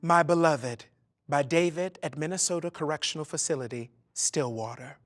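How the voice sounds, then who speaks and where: A man's voice: a little high, normal speed, fairly bright tone, soft background music. A man, an actor, giving a tender dramatic reading of a letter in a storytelling manner.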